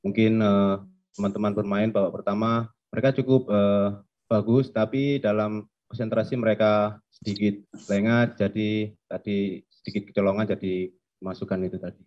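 A man's voice: lowish voice, fairly even intonation, moderate pace. A young man, a football player, answering press questions hesitantly with many fillers and speaking of himself by name. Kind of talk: post-match press answers. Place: press conference room. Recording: dull lo-fi stream audio.

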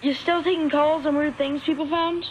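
Woman doing a hesitant young caller, slightly high voice, noisy background. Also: steady tone, moderate pace, close to an everyday voice. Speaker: radio caller. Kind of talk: video game voice acting.

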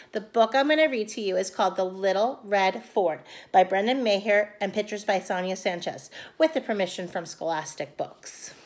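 A woman in her thirties reading a picture book aloud to children like a teacher, in an expressive way. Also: mid-range voice. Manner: expressive, addressing young children. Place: small room at home, indoors.

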